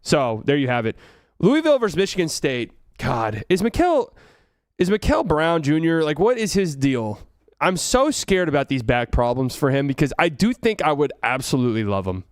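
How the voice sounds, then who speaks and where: A man's voice: on the high side, sing-song, moderate pace, dry recording, studio quality. A man from Texas with a light Texan accent, hosting a casual solo sports talk show, rambling, joking and thinking aloud. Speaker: podcast host.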